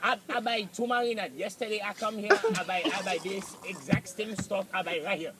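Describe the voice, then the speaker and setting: A man's voice: sits high, fairly even intonation, a little fast, very noisy. A man placing an order in broken English, sprinkling in mangled French words, insistent and exasperated. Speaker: prank customer. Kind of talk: prank call. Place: drive-thru ordering lane.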